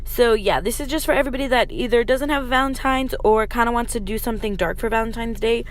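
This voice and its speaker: A young woman with a slightly raspy voice, chatting informally to viewers through a casual makeup tutorial. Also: a little high, fast. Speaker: beauty vlogger. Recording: noticeable background noise.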